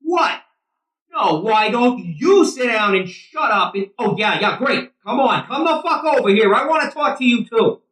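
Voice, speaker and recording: Volatile, animated middle-aged hothead in echoey room. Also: male voice, very high voice, a little slow, exaggerated voice. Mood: angry, combative. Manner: combative, profane, sarcastic. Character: Eddie, hot-headed, beer-drinking middle-aged softball player. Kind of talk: naturalistic audio drama acting. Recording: roomy, echoing sound.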